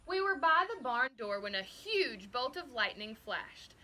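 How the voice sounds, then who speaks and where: A woman reading a children's story aloud expressively, with deliberate, dramatic emphasis. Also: on the high side, big pitch swings, bright, forceful tone. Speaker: storybook reader.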